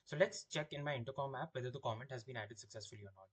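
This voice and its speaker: A man with a husky, slightly rough voice walks through a software product. He explains each step in an orderly way, like a presenter giving a tutorial, at a middling pitch. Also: very fast. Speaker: product demonstrator. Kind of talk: software demo walkthrough.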